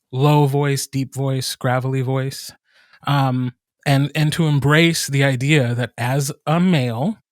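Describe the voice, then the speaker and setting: A man's voice: medium pitch, dry recording, clean studio sound. A man who narrates audiobooks, speaking frankly and thoughtfully in a casual roundtable discussion. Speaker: audiobook narrator, podcast co-host.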